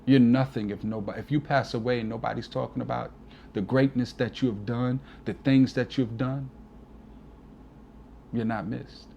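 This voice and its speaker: A man's voice: medium pitch, fairly even intonation, normal speed, vocal fry, dull audio, slight background noise. A man in his forties, a DJ guest on an interview, talking earnestly and from the heart, often adding "you know". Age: 40s. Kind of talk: casual reflective interview talk.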